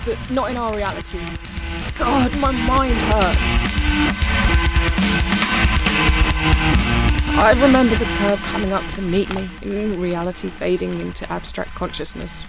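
An anguished woman narrator played by an actress delivers an incantatory audio-fiction monologue. Her voice is heavy with fry and intensely dramatic, as if overwhelmed by a vision. Music runs clearly behind her. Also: mid-range voice, lively intonation, a little slow. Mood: bewildered dread. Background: music and sound design.

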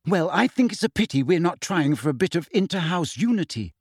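A man voicing a bossy, earnest teenage schoolgirl in an audiobook, crisp and insistent, with dignity. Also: sits high, very animated, moderate pace, no room sound, studio quality, pitched above the performer's own voice.

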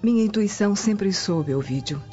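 An actress with a Brazilian accent playing a gentle, cautious Roman noblewoman and knowing wife in a radio drama. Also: medium pitch, lively intonation, moderate pace, close to an everyday voice. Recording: dull lo-fi audio, faint music behind.

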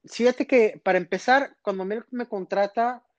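A man's voice: high-pitched, normal speed, no room sound. A man, a guest telling stories at length in a casual podcast interview, full of fillers. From born in Texas, raised in northern Mexico. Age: 30s. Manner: rambling, storytelling, frequent fillers. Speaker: guest magician.

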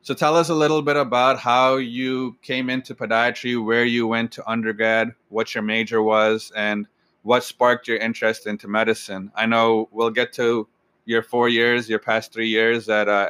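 A young man in his twenties hosting an interview, relaxed and addressing listeners directly. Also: fairly low pitch, smooth, no echo. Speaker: podcast host.

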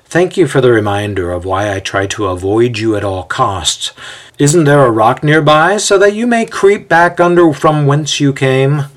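Man putting on an impatient, pompous wiry man, swooping pitch. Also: lively intonation, unhurried, few pauses. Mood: dry, scornful. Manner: haughty, dry, theatrical. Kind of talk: audio storybook character voice.

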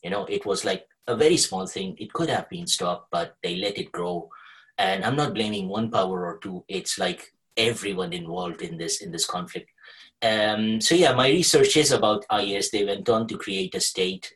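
A man's voice: sits low, moderate pace, fairly bright tone, low-quality recording. A man from Kuwait speaking as a podcast guest, explaining in a discursive, conversational way. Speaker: podcast guest, researcher with a journalism degree.

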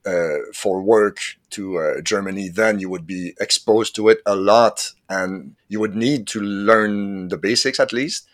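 Man in his fifties, fairly low voice, dry close sound, halting, hunts for words. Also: no echo. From Quebec, Canada. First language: French. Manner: halting, searches for words, chuckles often. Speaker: podcast guest. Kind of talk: casual interview chat.

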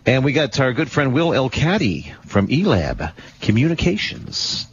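A man hosts a radio talk show in an upbeat, brisk way, with a mid-range voice. The broadcast sound is slightly dull, and a faint hiss runs underneath. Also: mid-range voice, sing-song. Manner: upbeat, brisk station announcements. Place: radio studio.

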